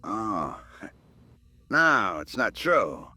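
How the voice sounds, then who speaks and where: A man's voice: on the low side, wildly swooping pitch, extremely slow, sharp tone, breathy edge, no room sound, full character voice. An American man playing a shifty, cocky robbery suspect in a 1950s radio crime drama, slangy and defensive. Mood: indignant, evasive. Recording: vintage radio broadcast, dry studio.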